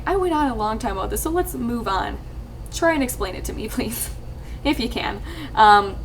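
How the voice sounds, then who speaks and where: A young woman talking casually to her viewers, rambling with lots of fillers and restarts, her voice a little husky. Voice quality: slightly husky, low-ish voice. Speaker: YouTube let's player. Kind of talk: casual talk to camera. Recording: distant mic with faint ambient noise.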